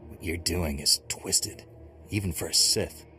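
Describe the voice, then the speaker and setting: An actor playing an earnest, stoic Jedi Knight hero in a video game cutscene, speaking in a serious, measured way. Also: male voice, deep voice, sharp tone, faint music behind. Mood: grave.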